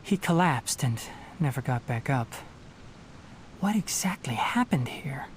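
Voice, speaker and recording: An actor playing a calm young male forest ranger and scholar in a video game, speaking in a measured, earnest, patient way. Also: medium pitch, noisy background, lightly acted. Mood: focused.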